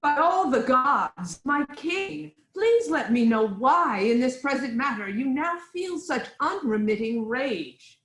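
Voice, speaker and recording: A woman playing a commanding queen in a staged reading of Greek tragedy, declaiming in measured phrases. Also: high-pitched, expressive, a bit bright, roomy sound, exaggerated voice, poor audio. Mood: urgent, questioning. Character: commanding queen of Thebes, scolding yet reassuring mediator.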